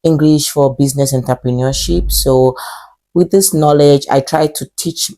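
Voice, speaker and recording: A woman's voice: deep voice, steady tone, normal speed, mellow. A woman in her twenties with a Nigerian accent, vlogging casually and rambling with fillers and self-corrections. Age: 20s. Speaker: vlogger and English teacher. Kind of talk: casual vlog talk to camera.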